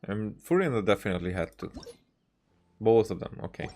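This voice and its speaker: A man's voice: lowish voice, big pitch swings, fairly quick, a little mellow, soft background music. A man doing casual live game commentary, hesitant and thinking aloud as he goes. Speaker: video game streamer.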